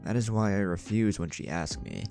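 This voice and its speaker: A man's voice: sits low, expressive, moderate pace, vocal fry, darkish tone, faint music behind. A man voicing a cold, stern clan patriarch in a story, with an impassive, condescending manner. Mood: smug, calculating.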